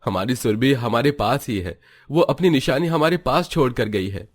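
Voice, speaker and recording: A man's voice: mid-range voice, lively intonation, moderate pace, lightly acted. A man voicing an earnest, devoted young husband and son in a scripted Hindi cartoon moral story. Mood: solemn, proud.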